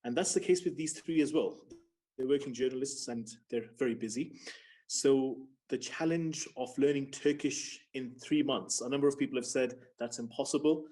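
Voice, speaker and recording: Earnest, articulate man with mid-pitched voice. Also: dark tone. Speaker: journalist, learner on the show. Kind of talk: unscripted personal talk to viewers.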